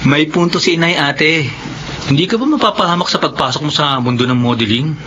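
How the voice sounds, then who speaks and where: Actor as a higher-voiced younger brother. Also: male voice, a little fast, natural voice. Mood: concerned. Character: concerned, plain-spoken younger sibling. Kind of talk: Filipino radio drama acting. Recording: dull, noisy radio drama audio.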